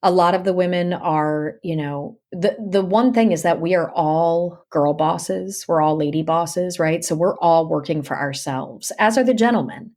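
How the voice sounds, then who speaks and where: A woman with a slightly low voice chats as an interview guest. Her delivery is candid and emphatic, and she often doubles up words to drive a point home. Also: moderate pace, few pauses, a little mellow. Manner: emphatic, repeats words and phrases for stress. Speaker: interview guest, audiobook narrator and actor. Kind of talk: casual, candid podcast conversation.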